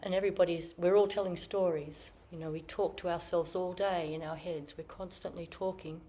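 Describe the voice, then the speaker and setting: Low-voiced woman giving a slow Zen talk. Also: steady tone, dark tone. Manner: slow, reflective, searching phrasing. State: slightly croaky from illness. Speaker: Zen teacher. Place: meditation hall with online audience.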